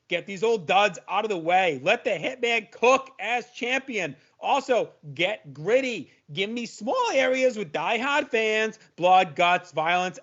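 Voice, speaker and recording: Comic audio play: opinionated male fan clerk played by a man, high, animated, profane ranter, lo-fi sound. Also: full character voice. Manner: ranting, emphatic, profane. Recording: dull lo-fi audio.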